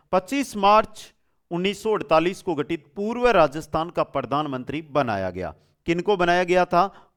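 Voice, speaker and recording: A male teacher with a fairly high voice that swings widely in pitch. He runs an exam-prep class as a quiz, putting questions to students informally and then repeating each answer. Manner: informal, addresses students as friends and children.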